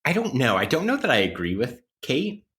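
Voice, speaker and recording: A man's voice: mid-range voice, huge pitch swings, fairly quick, fairly bright tone. A man talking casually and analytically on a podcast, wry and academic, circling around his points as he explains. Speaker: podcast co-host playing interview guest.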